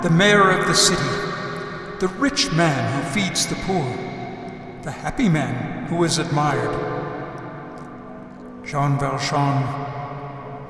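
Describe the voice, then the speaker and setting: Male actor as solemn inner accuser, radio drama, incantatory, higher-pitched male, long reverb, far from the mic. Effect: heavy reverb. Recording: long echoing reverb, distant, music behind.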